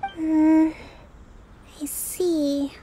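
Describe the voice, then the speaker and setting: High-voiced, composed young island leader. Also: female voice, level tone, very slow, mellow, slight background noise. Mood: wistful. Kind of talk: video game voice acting.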